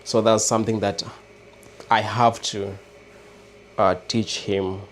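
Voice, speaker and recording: A man with a Kenyan accent chatting casually with viewers on a livestream, hesitant and halting, often restarting words. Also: on the low side, little pitch movement, a little hiss or hum. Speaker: livestream host. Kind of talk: casual live chat with viewers.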